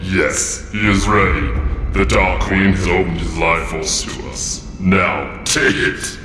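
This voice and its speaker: A man voicing a sinister male cultist who chants over a sacrifice, slow and gloating. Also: sits low, fairly even intonation. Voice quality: gravelly. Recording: roomy echo with long reverb, music behind.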